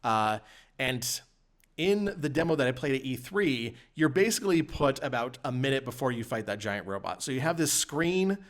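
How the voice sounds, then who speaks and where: A man's voice: mid-range voice, lively intonation, normal speed. Man hosting a casual gaming podcast. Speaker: podcast host.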